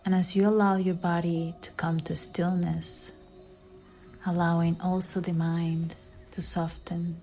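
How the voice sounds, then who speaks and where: A woman leading a guided meditation in a soft, soothing way, with phrase endings that fall into breathy near-whispers. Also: fairly low pitch, little pitch movement, a little slow. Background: background music.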